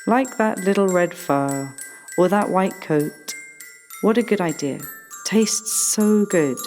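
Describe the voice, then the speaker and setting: A woman playing a whimsical, musing character in a radio play, with deliberate, drawn-out phrasing. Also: medium pitch, lively intonation, a little mellow. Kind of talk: slow, stylised art radio play delivery. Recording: long reverb, music behind the voice.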